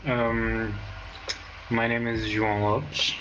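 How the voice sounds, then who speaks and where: A man's voice: mid-range voice, flat delivery, slow pace, dull audio, over music. A man in his twenties from Portugal with a Portuguese accent, a guest in a casual interview, hesitant and full of fillers. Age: 20s. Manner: hesitant, many fillers and restarts.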